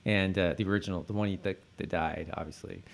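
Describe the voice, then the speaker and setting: A man's voice: low-pitched, fairly even intonation, fast talker, creaky. A man co-hosting a podcast in casual conversation, often repeating words as he thinks aloud. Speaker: podcast co-host.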